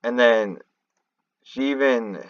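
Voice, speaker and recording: Male game-lore commentator, unhurried and rambling, restarts often, dry but slightly muffled lo-fi home recording. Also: medium pitch, no room sound. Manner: halting, rambling, often restarting mid-thought. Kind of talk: unscripted theorizing commentary over a screen recording.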